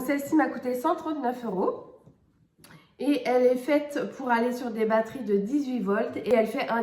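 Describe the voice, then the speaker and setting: Brief: middle-aged woman vlogger, stuffy cold voice, slightly high, echoey room. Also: noisy background. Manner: chatty, many hesitations. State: has a cold. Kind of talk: casual home vlog narration. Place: home.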